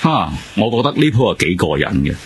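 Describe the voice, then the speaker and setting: An actor playing a laid-back, teasing detective partner in a radio drama, slangy and offhand, with a Hong Kong Cantonese accent. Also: male voice, lowish voice, big pitch swings, fairly quick, a little hiss or hum. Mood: offhand, intrigued.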